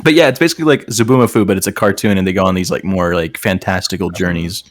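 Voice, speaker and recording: A man with a lowish voice reads aloud and keeps breaking off to joke about it. His delivery is casual and sarcastic, like commentary among friends. The sound is dry and close, with faint music behind him. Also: fast talker, dry recording. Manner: joking, sarcastic, breaks off reading to riff. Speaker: host reading and mocking fan fiction. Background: faint background music.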